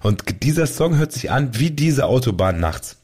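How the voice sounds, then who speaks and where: A man's voice: medium pitch, sing-song, normal speed, a little mellow, husky. A man co-hosting a podcast, chatting casually in a playful, teasing way with mock announcements. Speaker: podcast co-host. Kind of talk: casual joking podcast chat.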